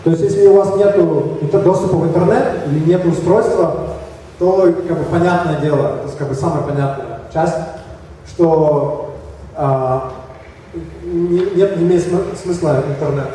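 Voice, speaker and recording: Kyrgyz guy giving a conference talk, bit hoarse, lots of ums, mellow voice, echoey hall from far off. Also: on the high side, slight background noise. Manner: halting, many fillers and restarts. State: slightly hoarse from a cold. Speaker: conference speaker. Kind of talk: presentation talk with slides.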